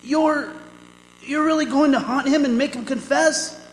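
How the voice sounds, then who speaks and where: A man's voice: extremely high pitch, measured pace. A man playing a condemned prisoner's wandering soul in a radio drama, bitter, agitated and pleading. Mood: bewildered. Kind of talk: old-time radio drama acting on stage. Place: performing arts center hall. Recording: echoey hall, faint noise.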